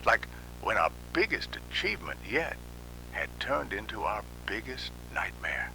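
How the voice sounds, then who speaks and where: A man's voice: lowish voice, sing-song, unhurried, creaky, noisy background, exaggerated voice. A man playing an ominous, theatrical narrator of a technological nightmare in analog horror. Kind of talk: dramatic scripted horror narration.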